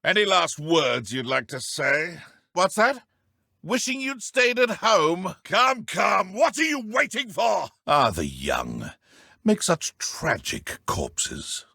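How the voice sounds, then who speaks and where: Give the game a haughty male dark wizard, pompous and taunting in old-fashioned phrases. His pitch leaps wildly from low murmurs to high outbursts, with a gravelly, husky edge. The delivery is theatrical and murderous. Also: sing-song, slow, dry recording, clean studio sound, full character voice. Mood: mocking. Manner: pompous, archaic diction, taunting. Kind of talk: video game combat voice clips.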